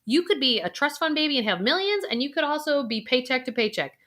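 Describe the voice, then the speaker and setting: Woman, middle-aged, coaching tone, bright voice, lively swooping pitch. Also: fast. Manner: expressive, coaching, walks viewer through breathing. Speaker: financial planner and coach. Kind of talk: guided intuition exercise talk to camera.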